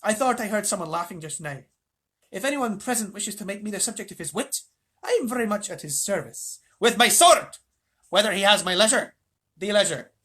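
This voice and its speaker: A narrator puts on a tiny male mouse knight from a storybook, shrill and raised in pitch. He is courtly, formal and proud, with a husky edge. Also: expressive, fairly quick, sharp tone. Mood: proud, challenging. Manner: courtly, formal, touchy about honour.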